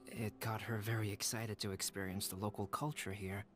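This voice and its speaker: A man voicing a polite, caring young man in a video game, calm and measured, with gentle formal phrasing. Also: lowish voice, faint music behind, natural voice. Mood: calm, courteous.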